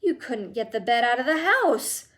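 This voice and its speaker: A woman's voice: fairly high pitch, very animated, normal speed, fairly bright tone. Woman doing a kindly old grandfather. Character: elderly grandfather, kindly and astonished.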